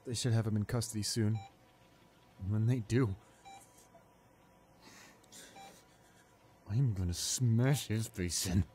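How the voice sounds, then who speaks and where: A man playing a distraught, tender young boyfriend in an intimate audio roleplay, speaking in halting, broken phrases. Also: fairly low pitch, mellow, slight background noise, lightly acted. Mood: bitter, protective.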